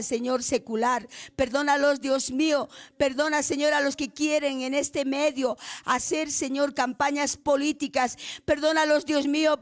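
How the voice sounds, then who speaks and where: A woman leading a fervent spoken prayer, pleading and repeating her invocations between every phrase. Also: sits high. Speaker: prayer leader.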